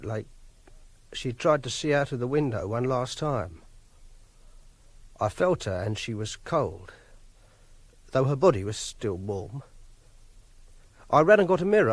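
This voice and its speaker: An actor playing a quiet, awkward, obsessive loner, speaking a halting, self-pitying confessional monologue in a radio drama. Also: male voice, medium pitch, no room sound. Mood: numb, morbid. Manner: halting, plain-spoken, brooding. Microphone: close, dry studio microphone.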